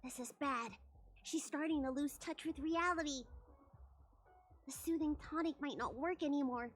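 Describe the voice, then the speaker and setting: A woman's voice: sits high, moderate pace, faint music behind, close to an everyday voice. A woman voicing a spirited young girl healer in a video game, bossy in a caring, doctorly way. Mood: firm, reassuring.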